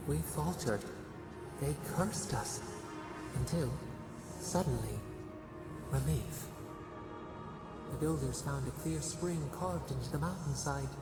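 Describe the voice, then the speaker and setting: An actor voicing an ancient collective nature spirit in a fantasy game, solemn and mournful, with a gravelly, portentous, incantatory delivery that echoes under music. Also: male voice, a little high, takes it slowly, pauses often. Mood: grave, reproachful. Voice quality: gravelly, creaking. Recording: cavernous echo, distant, under loud music.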